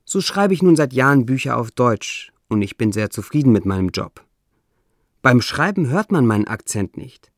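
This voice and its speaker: A man with a non-native German accent that struggles with umlauts, reading his own essay in a measured, deliberate way with dry, self-ironic humor. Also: mid-range voice, no echo. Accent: non-native German, trouble with umlauts.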